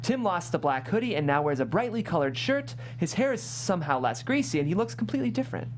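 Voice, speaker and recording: A woman's voice: on the low side, very animated, fast. A woman reading stage directions as scripted narration at a comedy table read. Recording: faint room noise and hum.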